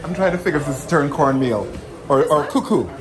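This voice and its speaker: A man chatting casually and exclaiming over dishes at a busy restaurant brunch, with loud music and crowd noise behind. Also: on the high side, animated, a little fast. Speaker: brunch guest filming. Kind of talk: casual chat.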